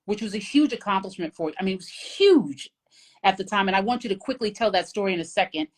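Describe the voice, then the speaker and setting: A woman's voice: medium pitch, animated, fast. A woman hosting a live talk show in an upbeat, chatty way and prompting her guest. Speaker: host.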